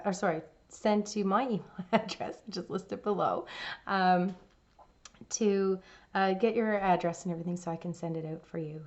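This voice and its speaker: A woman hosting a cross-stitch vlog, her voice raspy from laryngitis, chatting casually with many fillers and restarts. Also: medium pitch, a little mellow, dull audio. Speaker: cross-stitch channel host.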